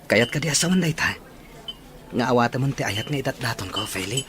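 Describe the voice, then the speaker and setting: A man's voice: mid-range voice, lively intonation, fairly quick, noisy background, lightly acted. A man with an Ilocano accent playing a tender, hopeful young suitor in a serial drama. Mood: earnest pleading. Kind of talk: Ilocano radio-style serial drama acting.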